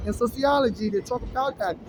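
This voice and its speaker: A woman rambling through a long personal monologue, halting and restarting often. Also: on the high side, noisy background.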